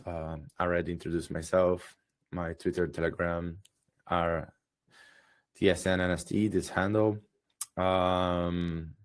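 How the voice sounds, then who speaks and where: A man speaking English with a Portuguese accent, not his first language, slightly hoarse from being sick, presenting on a video call with many hesitations and restarts. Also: low-pitched. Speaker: guest presenter. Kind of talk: webinar presentation.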